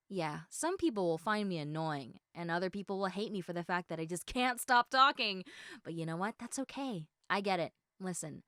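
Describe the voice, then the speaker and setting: A woman's voice: medium pitch, fairly bright tone, no echo, studio quality, natural voice. A woman playing a bubbly, talkative, eager young woman in a one-sided roleplay, chatting emphatically and playfully straight to the listener. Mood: candid, self-assured. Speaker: audio roleplay performer.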